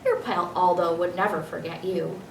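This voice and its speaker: A woman's voice: fairly low pitch, fairly even intonation, normal speed, vocal fry, far from the mic, slight background noise. A friendly, lonely janitor as a woman reader plays him talks affectionately and chattily. It is a storybook reading, recorded in a roomy space a little away from the mic. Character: friendly lonely man, night janitor fond of his hamster.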